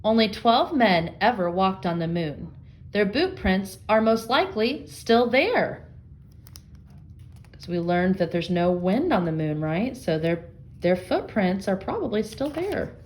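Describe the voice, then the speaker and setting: A woman working as an elementary reading teacher, reading aloud and explaining to young children, thinking out loud and posing guiding questions. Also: medium pitch, very animated, a little slow.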